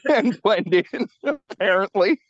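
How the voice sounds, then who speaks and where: A man's voice: sits high, lively intonation, slow pace, dull audio. A man from California in a casual book club discussion, blunt and wry, swearing freely.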